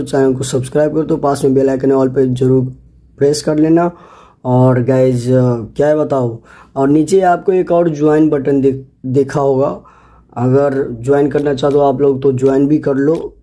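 A man's voice: medium pitch, warm tone. A man with an Indian accent giving casual, chatty gameplay commentary as a video host, often addressing and repeating himself to viewers. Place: voice-over on a screen recording.

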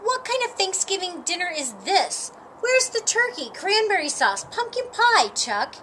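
A woman's voice: extremely high pitch, sing-song, measured pace, pushed and bright, slight background noise, voice pushed up, heavily acted. A woman voicing a pushy, blunt, indignant young girl in a storybook. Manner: blunt, talks over others.

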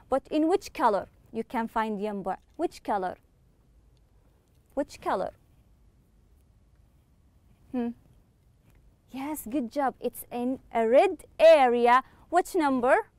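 A woman teaching English to children online, slowly repeating words and praising her pupils. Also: high voice, no room sound. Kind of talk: online classroom lesson.

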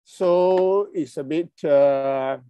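A middle-aged man from Malaysia giving an online conference talk over a video call, halting and hesitant, with many fillers and apologies. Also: on the high side, dark tone, clean tone, no echo, muffled recording. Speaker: conference speaker. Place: indoors at a computer.

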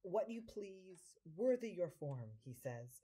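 A woman playing an impish devil posing as a fawning, scheming manservant in an audio drama, with flattering, theatrical delivery in the manner of early stage comedy. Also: on the low side, takes it slowly, breathy edge, full character voice. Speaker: amateur actor.

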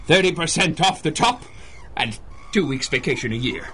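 An actor playing a mad, gleeful, theatrical supervillain in a radio drama, grandiose and given to gloating and cackling. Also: male voice, a little high, animated, moderate pace, very noisy, lightly acted. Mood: bargaining. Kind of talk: 1930s-style radio superhero drama acting.

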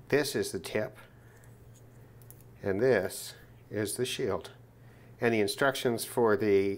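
A man presenting an unscripted hands-on demonstration in a casual, matter-of-fact way, thinking aloud as he goes. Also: lowish voice. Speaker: presenter of a radio gear test video. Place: indoors at a work desk.

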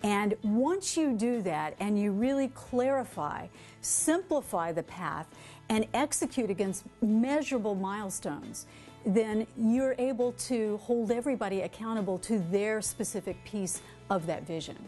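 A woman in her forties speaks to the camera in a brisk, direct way, with a mid-pitched voice. She sits in an office with a lapel mic. Faint music runs under her. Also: animated, dark tone. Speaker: executive coach. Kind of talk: interview-style talk to camera. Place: office room indoors.

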